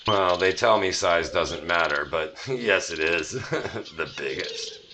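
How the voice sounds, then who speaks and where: A man's voice: low-pitched, slow, noisy background. A man playing a cocky, commanding starship captain with a swaggering delivery in a sci-fi audio comedy.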